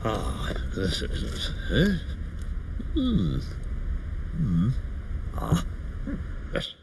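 A man's voice: fairly low pitch, huge pitch swings, drawn-out words, creaky, noisy background, exaggerated voice. An actor playing a grumbling cartoon man of few words, who mumbles and grunts in terse bits. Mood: muttering. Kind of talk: cartoon voice acting. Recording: music under the voice.

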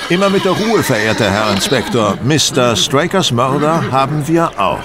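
An actor playing a self-assured, coolly superior detective in a German radio play, explaining with courteous precision and theatrical flair. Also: male voice, mid-range voice, very animated, moderate pace, very noisy, close to an everyday voice. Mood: calm, teasing. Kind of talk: German audio drama acting.

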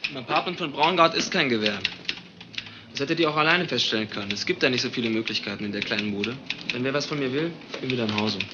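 A grumpy crime-drama policeman played by a man, talking quickly and curtly. The sound is dull, with very loud clatter and typing around him. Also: medium pitch, heavy background noise, natural voice. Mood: irritated. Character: brisk, grumpy male police colleague. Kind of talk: German crime radio drama acting.

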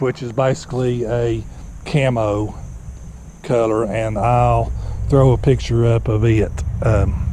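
A man, vlogging in plain, unhurried talk with lots of "uh"s, filmed on an action camera in his car and his yard. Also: mid-range voice. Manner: slow, plainspoken, many fillers. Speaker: fishing kayak vlogger. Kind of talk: casual vlog talk. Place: in a car while driving, later outdoors in a yard. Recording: loud road and outdoor noise. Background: car and outdoor ambience.

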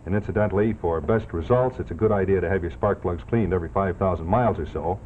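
An American man in his thirties giving a folksy, emphatic 1950s television sponsor pitch as an announcer. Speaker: commercial announcer. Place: television studio set. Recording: tinny 1950s TV soundtrack, faint noise.

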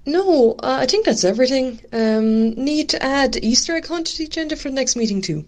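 A woman's voice: high voice, expressive, fairly quick, a little mellow. A woman playing a helpful Irish parish committee member in a radio drama. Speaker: actor in an amateur radio drama.

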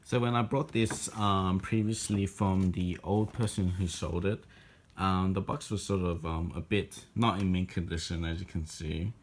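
A man's voice: sits low, fairly even intonation, measured pace. A man showing off a boxed collectible figure in a casual home video, talking hesitantly with many ums and restarts, recorded on a handheld phone indoors. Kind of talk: casual unboxing vlog. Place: indoor room at a table.

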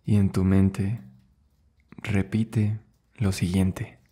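A man leading a guided meditation as an instructor, soothing and unhurried, speaking in short phrases with long pauses between them. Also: sits low, level tone, warm tone, slightly rough. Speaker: yoga and meditation instructor, host.

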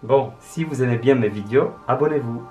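A man's voice: medium pitch, a little fast, dark tone. A man in his forties with a French accent, chatting casually to camera as an artist vlogger and calling his viewers friends. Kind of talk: casual vlog talk to camera. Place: large empty exhibition hall, indoors. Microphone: handheld camera at arm's length. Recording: long hall reverb with music behind.

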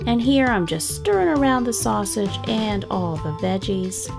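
A middle-aged woman talks through her day in a chatty, upbeat way, laughing easily as she narrates. Her voice has a gravelly, fry-tinged edge. Guitar music plays clearly behind her. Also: medium pitch, animated, unhurried. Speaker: family vlogger. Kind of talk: cozy voice-over narration of a cooking and cleaning vlog. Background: guitar background music.

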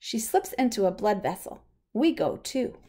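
A woman reading a picture book aloud for young children in a simple, expressive way. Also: mid-range voice, normal speed. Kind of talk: children's storybook read-aloud.

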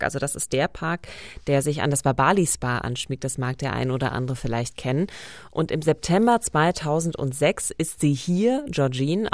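A woman's voice: deep voice, darkish tone, dry recording, clean studio sound. A woman presenting a crime podcast as co-host, introducing and explaining.

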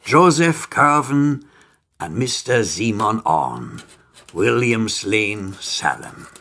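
An actor playing a sinister, imperious merchant-alchemist in a radio drama, dictating formally and deliberately. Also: male voice, fairly low pitch, very animated, bright, forceful tone, a little hiss or hum, heavily acted. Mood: secretive, calculating.

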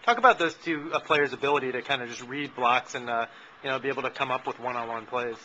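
A man asking a coach postgame interview questions in a quick way, with fillers like uh and kinda. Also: mid-range voice, sharp tone. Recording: dull lo-fi with faint noise.

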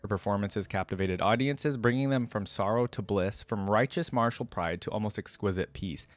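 Low-voiced young man, dry close sound. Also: normal speed, no room sound, soft background music. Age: young adult. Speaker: reaction video creator. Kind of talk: casual reaction commentary, reading lore aloud. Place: indoor room at a desk. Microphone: close desk microphone.

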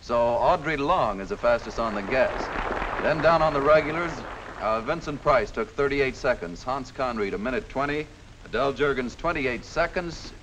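A man hosts a game show from a studio set, brisk and joking as he reads out scores. His voice sits in a middle register. A faint hiss runs under the recording. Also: mid-range voice. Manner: brisk, jokey, announcing scores. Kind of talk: lively game show hosting.

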